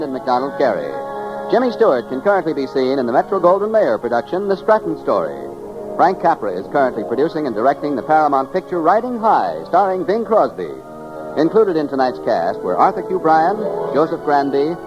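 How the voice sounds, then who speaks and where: Casting: male American announcer, 1940s radio style, highish lively voice, dulled old broadcast with music. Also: highs cut off.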